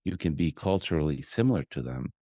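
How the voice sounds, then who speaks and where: A man with a very low voice, captured with hardly any room sound. He hosts a conversational interview and puts his points and questions in a pointed, sardonic manner. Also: lively intonation, fast, warm tone, no room sound, clean studio sound. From born in Colombia, raised elsewhere. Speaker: podcast host, pediatrician.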